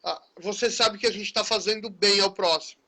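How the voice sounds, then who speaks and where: A man's voice: sits high, fairly even intonation, moderate pace, pushed and bright. A man who is a teacher, hosting a live conversation in a chatty, friendly way. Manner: chatty, friendly, often tags phrases with "né". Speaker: teacher, live-stream host. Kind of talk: casual conversation in a live stream.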